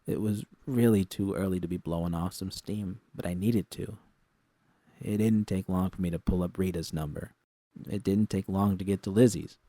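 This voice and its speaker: Low, mellow male story narrator. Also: dry recording. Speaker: audiobook narrator. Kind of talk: first-person scripted story narration.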